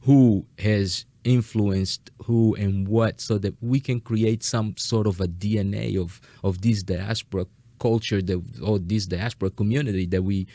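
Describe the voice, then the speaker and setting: Man interviewing, lowish voice, close dry sound. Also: steady tone, unhurried, mellow, dry recording. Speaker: interviewer, host. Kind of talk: conversational interviewing.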